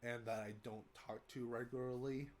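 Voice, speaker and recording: A man's voice: mid-range voice, little pitch movement, normal speed, a little hiss or hum. Young American man, head cold, stuffy voice; casual vlog update, meandering with fillers. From United States. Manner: rambling, many fillers and restarts. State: congested from a cold. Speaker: vlogger. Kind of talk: casual update talk to camera.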